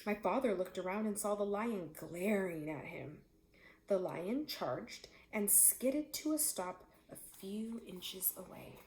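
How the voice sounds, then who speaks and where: Animated woman storyteller in an echoey room. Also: mid-range voice, slow. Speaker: read-aloud storyteller. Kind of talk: expressive children's story narration.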